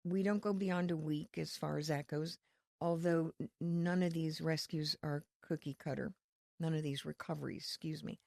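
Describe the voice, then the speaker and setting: A woman with a low, slightly gravelly voice explains her ministry work in a steady, earnest way. She is from Connecticut and speaks with quiet faith and conviction. Her voice is recorded dry and close. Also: normal speed, dry recording. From Connecticut, USA. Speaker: ministry leader, guest. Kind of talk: podcast interview.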